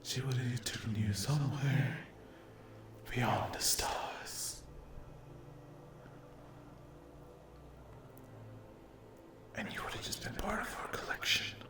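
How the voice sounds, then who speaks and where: A man voicing a cruel, mocking sleep paralysis demon in a breathy ASMR roleplay, taunting and condescending in drawn-out phrases. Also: medium pitch, very animated. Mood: smug, possessive. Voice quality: breathy whisper. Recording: roomy echo.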